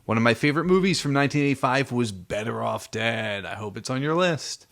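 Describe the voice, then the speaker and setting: A man with a noticeably creaky, gravelly edge to his voice hosts a podcast. He talks in a casual, chatty style at an ordinary male pitch. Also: expressive, brisk, a bit bright. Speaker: podcast host.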